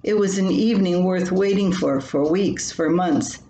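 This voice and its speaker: An older woman with a slightly low voice reads a personal story aloud in a wry, theatrical way. The room echoes around her, and there is clear noise in the recording. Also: moderate pace, mellow. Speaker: columnist reading own humorous column. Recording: roomy echo with clear background noise.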